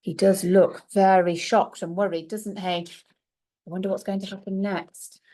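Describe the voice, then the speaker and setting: A woman's voice: mid-range voice, level tone, moderate pace, warm tone. A woman reading a storybook aloud to young children like a primary teacher, expressively and with little asides to her listeners.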